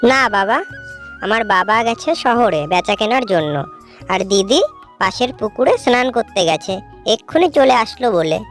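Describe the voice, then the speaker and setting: A woman's voice: fairly high pitch, lively intonation, normal speed, soft background music, close to an everyday voice. A woman acting a young village sister in a cartoon folk tale. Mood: sweet, polite. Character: two village sisters, one sweet, one spiteful.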